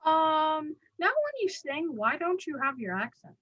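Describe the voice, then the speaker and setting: A woman's voice: sits high, animated, measured pace, no room sound, muffled recording, soft background music. A woman hosting an interview, chatty and casual, often repeating her own words. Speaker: interview host. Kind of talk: casual interview over a call.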